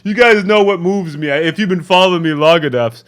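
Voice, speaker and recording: A man's voice: sits high, brisk, a bit bright. A man hosting a reaction video, talking casually and candidly in a rambling, tired way. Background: music.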